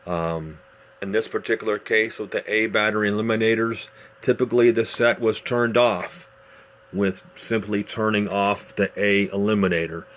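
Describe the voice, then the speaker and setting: A man giving a casual, unscripted show-and-tell demonstration in a measured, explanatory way, pausing as he goes. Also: on the low side, fairly even intonation. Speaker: vintage radio collector presenting a demonstration. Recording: clearly noisy amateur home video.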